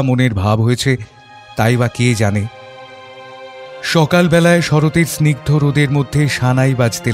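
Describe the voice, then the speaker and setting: Male actor as compassionate Bengali father, first-person storytelling, reflective, heartfelt, medium pitch, music bed. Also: close to an everyday voice. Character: gentle, compassionate Bengali gentleman, father of a bride.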